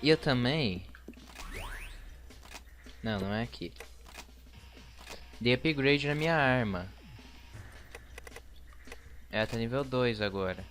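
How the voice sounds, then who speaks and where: A man's voice: medium pitch, animated, measured pace, long pauses, fairly bright tone. A man with a Brazilian Portuguese accent giving casual gameplay commentary as a let's play host. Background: game music.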